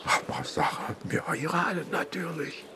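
An actor playing an earnest, love-struck provincial police inspector with a halting, intimate manner. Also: male voice, a little high, sing-song, heavy background noise. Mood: pleading, flustered. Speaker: radio drama lead actor. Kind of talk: German radio drama dialogue and narration.

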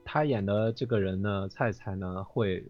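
A man speaking as a guest in a casual panel chat, explaining things haltingly. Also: on the low side, highs cut off. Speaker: interview guest, voice drama performer. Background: faint music.

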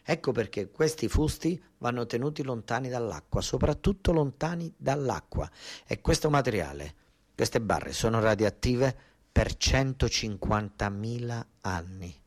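A man's voice: mid-range voice, animated, measured pace. Southern Italian man, insistent theatrical storyteller. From Basilicata, southern Italy. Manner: colloquial, insistent, repeating phrases for emphasis. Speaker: actor-storyteller. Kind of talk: narrative theatre monologue.

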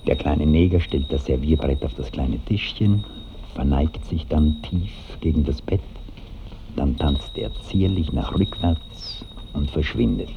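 A man acting as the composed narrator of a radio play, reading stage directions in a measured, literary way. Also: extremely low pitch, gravelly, full character voice. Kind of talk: scripted radio drama narration. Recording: muffled old radio recording with faint hiss.

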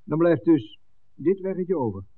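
An actor playing a practical, thoughtful local man in a 1960s radio drama, speaking in a matter-of-fact, deliberate way. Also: a little high, animated, husky. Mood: pondering. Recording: dry, phone-like old radio recording.

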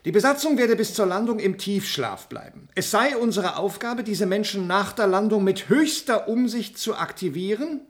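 A man's voice: sits high, lively intonation, moderate pace, few pauses. A man playing an insistent space-centre scientist in a German radio play, delivering alarming technical detail precisely and emphatically. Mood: urgent, insistent.

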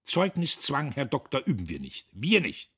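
A man voicing a fanatical socialist worker foreman who shrieks his convictions, defiant, with a husky voice. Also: medium pitch, huge pitch swings, moderate pace, pitched above the performer's own voice, full character voice. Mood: shrieking. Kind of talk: audiobook character voice.

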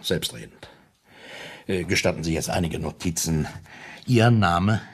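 A pompous private detective with a low, husky voice. He is obliging and stiffly formal, repeating himself officiously and pausing to search for words. The delivery is that of a radio play. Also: heavily acted. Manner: officious, formal, repetitive, hesitant pauses. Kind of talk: German radio play acting.

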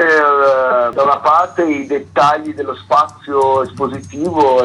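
A man's voice: on the high side, fairly bright tone, breathy edge, low-quality recording. A man being interviewed on radio as a curator, giving explanations.

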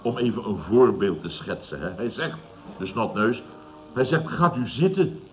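An actor playing a scornful, blustering fifty-year-old building contractor boss in an old radio comedy, mocking and repeating himself. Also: male voice, lowish voice, very animated, moderate pace, soft background music. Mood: indignant storytelling. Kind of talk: Dutch radio comedy acting around 1970. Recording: dull, noisy old radio recording.

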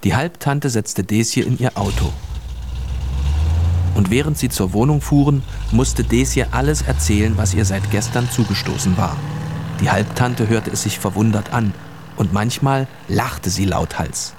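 Man, narrator, scripted children's radio drama, fairly low pitch. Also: unhurried, noisy background.

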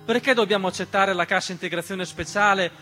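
Man, higher-pitched, forceful tone, rhetorical stage storytelling, deliberate repetition, piano music in background. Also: fairly even intonation. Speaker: narrator and writer-performer of a theatre monologue. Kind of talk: dramatic political theatre monologue. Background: piano accompaniment.

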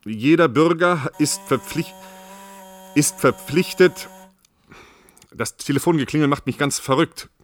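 Actor as an official over faint noise. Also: male voice, on the high side, unhurried, pauses often, natural voice. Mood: official, irritated. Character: official reading out emergency decrees. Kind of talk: radio drama, reading regulations.

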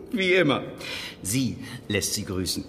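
An actor playing a brusque, officious security manager in a radio crime drama, speaking in a curt, businesslike way. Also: male voice, mid-range voice, wildly swooping pitch, slow pace, creaky, fairly bright tone, heavily acted. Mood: grudging. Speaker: supporting character. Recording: long hall reverb, faint noise.

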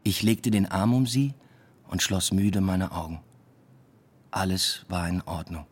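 A man's voice: deep voice, measured pace, slightly rough. An actor playing a weary, introspective male academic, narrating a radio drama in a reflective, understated way. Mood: subdued, weary. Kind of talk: radio drama first-person narration and dialogue.

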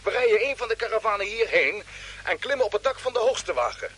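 An actor playing a decisive, cautious spaceship commander in a Dutch radio play. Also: male voice, high-pitched, very animated, quick pace, sharp tone, slightly rough, heavily acted. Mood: commanding, steady. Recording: noisy old radio drama recording.

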